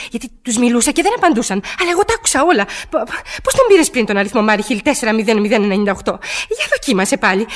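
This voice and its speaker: High-pitched, frantic, imperious woman caller. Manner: agitated, stammering, insistent. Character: anxious, demanding woman caller, panicky and imperious. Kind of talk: radio play acting.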